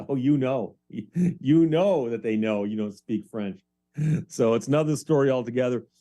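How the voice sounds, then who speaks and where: A man's voice: medium pitch, normal speed, mellow. A middle-aged American man hosting a talk show, giving conversational, opinionated commentary and explaining his points as he goes.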